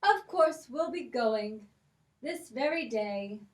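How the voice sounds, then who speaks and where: A woman's voice: a little high, animated, slow pace. A woman voicing a brave, earnest young girl in a children's puppet show. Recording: echoey room, distant from the mic.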